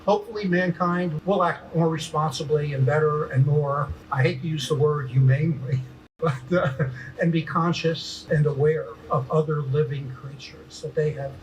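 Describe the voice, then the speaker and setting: A man giving an earnest informal speech in halting, reflective phrases. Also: on the high side, steady tone, low-quality recording. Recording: faint background noise and ambience.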